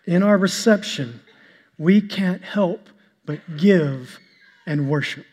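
A male pastor preaching a sermon to his congregation, with an even delivery he describes as monotonous. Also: sits high. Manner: calls himself monotonous and boring-voiced; preaching, shifts to a soft, slow prayer. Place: church sanctuary. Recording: long hall reverb with soft instrumental music.

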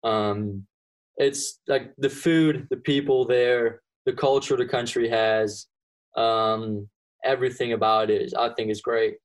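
A man's voice: medium pitch, unhurried, rough recording. A young man from Belgium, answering interview questions casually with lots of ums and restarts. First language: Dutch (Flemish). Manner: relaxed, many ums and restarts. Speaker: golfer being interviewed.

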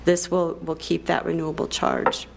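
Staff lady answering board questions, careful and a bit hesitant, lowish voice. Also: level tone, moderate pace, gravelly, darkish tone, a little hiss or hum. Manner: careful, hesitant, self-correcting. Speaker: district staff member.

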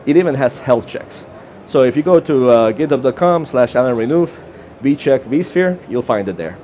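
A man's voice: mid-range voice, expressive, dark tone, slight background noise. Man, Costa Rican accent, conference presenter, informal with fillers, faint background ambience. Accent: Costa Rican Spanish. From Costa Rica. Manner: quick, informal, with fillers and restarts. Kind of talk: short conference talk. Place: conference session room.

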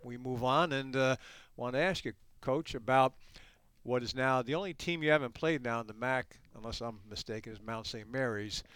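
Male podcast host, mid-pitched and smooth, conversational interviewer. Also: moderate pace, fairly bright tone, dry recording. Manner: conversational interviewer, asking long questions.

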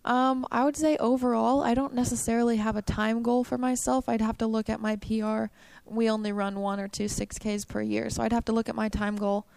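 Young woman with a gravelly, flat voice. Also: a little high, a little fast, no room sound. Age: young adult. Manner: chatty, upbeat, frequent "um" and "like". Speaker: college cross country runner. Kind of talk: interview answers.

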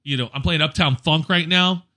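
Bright-voiced, animated male storyteller. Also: fairly high pitch, normal speed. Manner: animated storyteller, acts out exchanges. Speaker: event DJ. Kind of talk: casual anecdotal chat.

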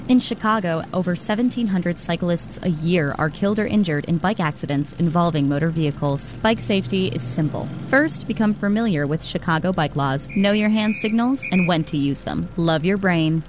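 A woman's voice: lowish voice, noisy background. A woman delivering a scripted public service announcement for radio. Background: music bed.

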